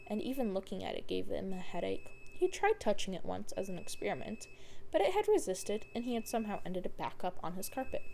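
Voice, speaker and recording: Woman, story narrator, soft-edged mellow tone, scripted reading, faint hiss of background noise. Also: medium pitch, gravelly. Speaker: fan fiction podcast reader.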